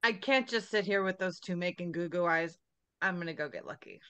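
Brash, bawdy comic female role, read by a woman, crisp bright tone, table read on video call. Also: on the low side, a little fast, lightly acted. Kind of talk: screenplay table reading.

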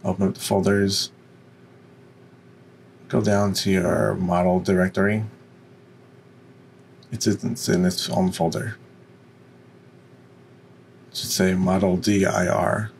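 A man with a deep voice giving an unscripted, step-by-step software tutorial. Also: flat delivery, takes it slowly, vocal fry, pauses often, slight background noise. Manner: instructional, step by step. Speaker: tutorial presenter.

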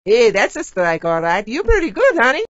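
A woman's voice: on the high side, wildly swooping pitch, moderate pace, no echo. A woman playing a doting, teasing Italian-American mama, a theatrical and motherly video game character. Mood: delighted. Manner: theatrical, motherly, uses endearments. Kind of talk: video game character barks, exaggerated and exclamatory. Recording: slightly dull game audio clips.

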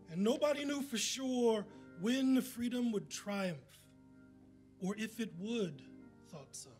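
A man in his forties giving an emphatic, deliberate poetry recitation at a podium microphone. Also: sits high. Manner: emphatic, deliberate, dramatic pauses. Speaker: community reader at a podium. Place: indoor event room at a podium. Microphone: podium microphone on a stand. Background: faint background music.

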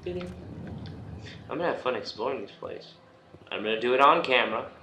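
A man's voice: mid-range voice, animated, brisk, sharp tone. A young man giving casual commentary as he plays a video game. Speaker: let's play gamer. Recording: roomy, noisy home recording.

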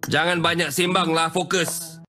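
A man's voice: a little high, level tone, measured pace, sharp tone, faint music behind. A man playing a tough, bossy Malaysian gangster boss in dramatic game catchphrases, with a Malaysian accent. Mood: menacing, commanding.

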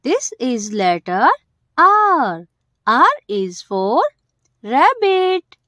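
A woman narrates a lesson for young children in short, simple phrases with the key words stressed. Her voice is fairly high and very animated in pitch. The sound is dry and close. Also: very slow, dry recording. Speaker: host of a children's alphabet video.

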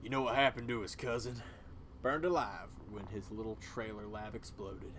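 A man's voice: on the low side, fairly even intonation, normal speed, fairly bright tone, a little hiss or hum, close to an everyday voice. A man voicing a grim, self-righteous old small-town cult elder with a folksy way of talking. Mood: cold justifying.

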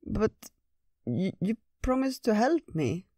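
A woman's voice: medium pitch, sing-song, slow pace, mellow, no echo, studio quality, pitched above the performer's own voice. A woman voicing a bewildered, tearful lost girl in a fairytale, plaintive and stammering. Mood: pleading.

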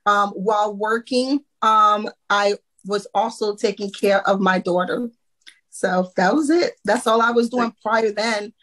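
A woman in her thirties, from Haiti and raised in Florida, speaks in a middle register on a video call from her home. She tells her story in a relaxed way with frequent "um"s and soft laughs. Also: mid-range voice, measured pace, rough recording. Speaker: guest, accountant. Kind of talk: casual podcast interview.

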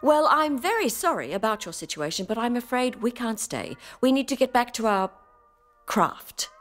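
An older actress playing a gruff, reluctant retired space adventurer with a brisk, sardonic manner in a comedy audio drama. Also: female voice, on the high side, very animated, fairly bright tone, soft background music. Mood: dry, impatient.

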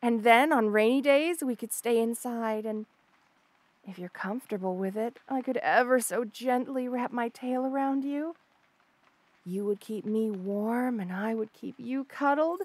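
A woman plays an obsessive monster girl, half snake, in an audio roleplay. Her voice is on the high side and recorded close and dry. She gushes hesitantly, shy yet unsettling, stammering coyly as she confides. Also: a little slow, a little mellow, no room sound. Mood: longing, tender. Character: obsessive lovestruck female rattlesnake lamia, shy yet unsettling. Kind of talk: intimate audio roleplay monologue.

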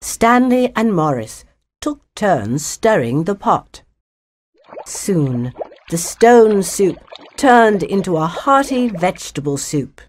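A woman narrating a children's story from a script, in an expressive storybook manner. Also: medium pitch, slow, a little hiss or hum.

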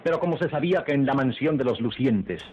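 An actor as the solemn narrator of a radio melodrama, reading a scripted story. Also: male voice, mid-range voice, animated, fast talker, slight background noise, lightly acted. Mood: storytelling. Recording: dull old radio recording with music.

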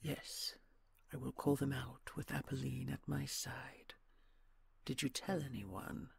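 A woman playing an imperious red dragon queen in an audio roleplay, measured and regal, with dry mockery and menace. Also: very deep voice, steady tone, heavily acted. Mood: regal, cold. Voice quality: gravelly, husky.

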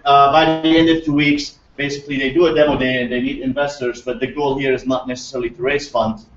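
A man's voice: mid-range voice, slight background noise. A middle-aged man from Syria giving an enthusiastic conference talk with many fillers and restarts, recorded from a distant camera in a large lecture room. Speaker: guest speaker. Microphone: far camera. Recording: roomy echo, distant, dull lo-fi.

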